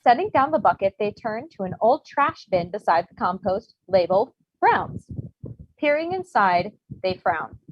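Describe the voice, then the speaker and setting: A woman's voice: mid-range voice, sing-song, normal speed, slight background noise. A woman giving scripted narration, reading stage directions for a comedy table read. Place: remote virtual table read. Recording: muffled video-call audio.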